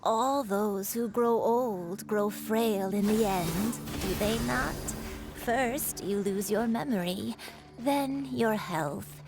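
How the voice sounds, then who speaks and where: An actress playing a frail, gentle old grandmother in a video game, wistful and humble, with a fragile voice. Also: medium pitch, music in the background. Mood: resigned, wistful. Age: older. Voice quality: creaky, fragile elderly voice. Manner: slow, wistful, humbly apologetic.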